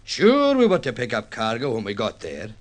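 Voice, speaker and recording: An actor playing a weather-beaten, defiant sea captain in a radio drama, speaking forcefully and accusingly. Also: male voice, medium pitch, wildly swooping pitch, normal speed. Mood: accusing, bitter. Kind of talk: 1950s radio drama acting.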